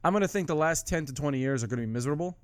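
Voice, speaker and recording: A man's voice: fairly high pitch, very fast, dry recording. A man in his twenties arguing bluntly and profanely in casual banter with friends. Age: 20s.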